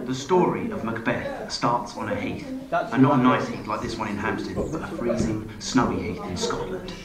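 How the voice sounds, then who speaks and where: A man's voice: medium pitch, expressive, moderate pace, loud music behind the voice. A man delivering comic scripted narration in a wry, playful manner. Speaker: comedian and presenter. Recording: roomy, distant playback with loud crowd noise.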